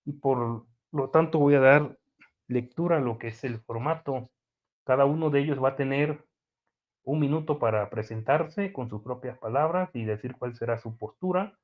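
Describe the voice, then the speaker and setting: A man's voice: mid-range voice, normal speed. Man hosting on a tinny line. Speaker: host. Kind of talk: introducing an online debate. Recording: phone-like narrow sound.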